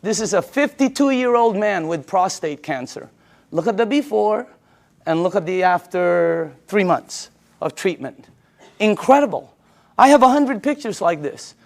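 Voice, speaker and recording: A woman's voice: medium pitch, animated, a little slow. A woman from the Philippines giving an informal sales presentation, emphatic and repetitive, often putting rhetorical questions to her listeners.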